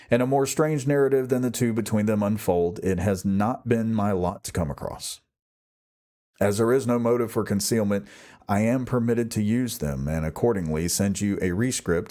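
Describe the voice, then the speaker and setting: A man narrates a classic novel as an audiobook reader. His voice sits fairly low, with a dark, mellow, relaxed tone.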